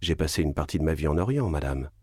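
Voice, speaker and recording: An actor reading the part of a worldly count who talks in a calm, gallant and slyly ironic way. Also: male voice, very deep voice, quick pace, breathy edge, dry recording, clean studio sound, heavily acted.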